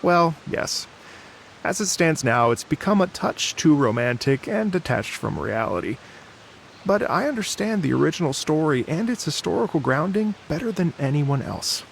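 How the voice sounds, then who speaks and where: Earnest travelling musician, slightly creaky. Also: male voice, a little high, big pitch swings, a little hiss or hum. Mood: wistful. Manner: earnest, measured storyteller. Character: middle-aged travelling musician and festival organizer, earnest, wistful. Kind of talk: video game voice acting, storytelling.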